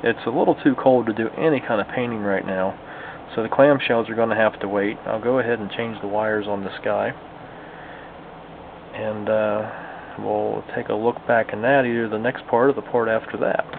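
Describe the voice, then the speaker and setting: A man with a creaky, gravelly voice talks viewers through hands-on work in a casual, folksy way. He sounds like a hobbyist thinking aloud as he goes. The audio is narrow and phone-like, with a faint layer of noise behind him. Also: fairly low pitch, fairly even intonation, normal speed. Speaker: hobbyist radio restorer and video host. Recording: lo-fi, phone-like band, slight background noise.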